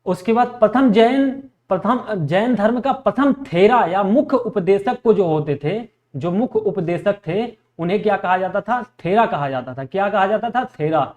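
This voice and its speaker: A man's voice: high voice, expressive, normal speed, hardly any pauses, darkish tone. A man teaching an exam lesson, repeating key facts and asking questions that he answers himself. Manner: repetitive, asks questions and answers them himself. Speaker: history teacher for exam preparation. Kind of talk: classroom lecture drilling facts for exams.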